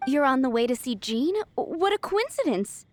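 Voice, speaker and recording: A woman's voice: high-pitched, big pitch swings, normal speed, slight background noise. A woman playing a chatty, somewhat scatterbrained female tavern keeper looking for her lost cat. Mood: worried. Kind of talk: video game dialogue. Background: game music.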